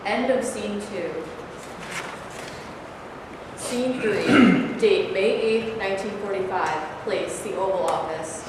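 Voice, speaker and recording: In a very echoey, noisy hall, a woman narrates a play from the script. Her voice is mid-pitched and distant from the microphone, reading stage directions. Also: takes it slowly, pauses often. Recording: distant mic, echoey hall, clear noise.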